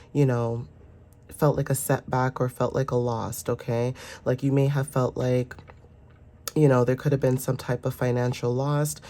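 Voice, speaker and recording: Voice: mid-range voice, mellow. A tarot reader with a sinus infection, congested and stuffy, giving a casual, rambling, reassuring reading full of fillers. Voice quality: stuffy, nasal.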